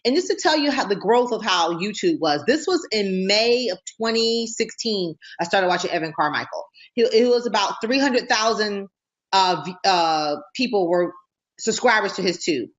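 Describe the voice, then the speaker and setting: A woman hosting a casual interview talk show, bubbly and excitable, often breaking into laughter. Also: medium pitch. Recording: dull lo-fi sound.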